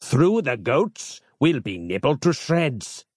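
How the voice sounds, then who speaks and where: A man's voice: mid-range voice, sing-song, slow, darkish tone, slightly rough, no room sound, clean studio sound, voice pushed up, full character voice. A man voicing a melodramatic, gloomy monk follower who wails in theatrical archaic speech for an audio story. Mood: alarmed.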